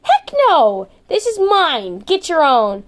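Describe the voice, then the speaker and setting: Story podcast role: woman as grumpy tough girl, high voice, brash and sarcastic-edged. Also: very animated, slow pace, full character voice. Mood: flustered, defiant. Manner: blunt, scoffing, easily flustered.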